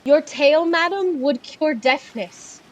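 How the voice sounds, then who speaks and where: Woman acting young daughter, fairly high voice, slow pace, dutiful, innocent, earnest, Shakespearean audio play. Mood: attentive, earnest. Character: dutiful, innocent, tender-hearted young daughter of exiled duchess.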